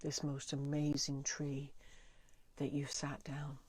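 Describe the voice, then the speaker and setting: Slow, low-pitched woman guiding a meditation. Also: completely flat delivery, darkish tone, slight background noise. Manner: soothing, deliberate, pausing between short phrases. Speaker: guided meditation leader. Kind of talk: guided meditation, gentle spoken visualisation.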